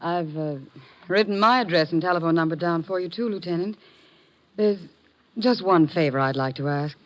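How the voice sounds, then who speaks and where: A woman's voice: fairly low pitch, moderate pace, background noise, close to an everyday voice. An actress playing an earnest, anxious young woman in a melodramatic 1950s-style radio drama. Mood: subdued, hesitant.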